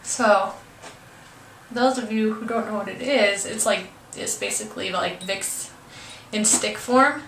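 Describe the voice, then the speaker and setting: A woman's voice: mid-range voice, unhurried, gravelly, a bit bright. Stuffed-up young woman, roomy home video. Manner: chatty, hesitant with many ums, apologetic. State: stuffed up, congested. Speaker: beauty vlogger. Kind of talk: casual makeup tutorial. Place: room at home. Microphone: camera mic from a distance. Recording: roomy, distant camera audio with faint hiss.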